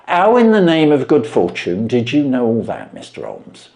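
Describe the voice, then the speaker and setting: A man's voice: medium pitch, wildly swooping pitch, normal speed, creaky, darkish tone, voice pushed up. A man voicing a simple, easily astonished pawnbroker in a storybook, blustering and plain-spoken. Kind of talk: storybook character voice.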